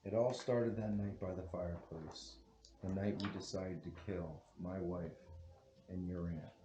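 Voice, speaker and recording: A man playing a scheming, unfaithful husband and impatient lover, melodramatic and halting, at a film script table read. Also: sits low, fairly even intonation, lo-fi sound, background noise. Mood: brooding, urgent. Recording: echoey room.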